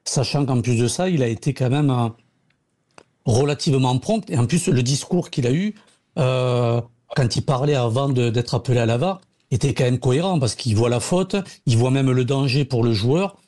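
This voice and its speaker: Halting man, mellow voice. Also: mid-range voice. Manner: halting, many restarts and fillers. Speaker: former footballer, pundit. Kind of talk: radio debate.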